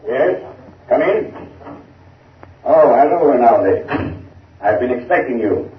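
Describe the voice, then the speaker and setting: An actor playing a self-satisfied corrupt senator, unhurried and knowing, in 1930s radio style. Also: male voice, medium pitch, expressive, recorded from a distance, a little hiss or hum. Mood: welcoming. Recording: tinny, echoey film soundtrack from afar.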